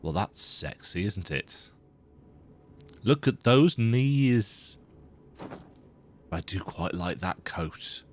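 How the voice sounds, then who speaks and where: A man with a croaky voice giving casual, rambling, self-deprecating commentary while he plays a video game. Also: low voice, lively intonation, extremely slow, pauses often, a little hiss or hum. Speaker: let's play gamer.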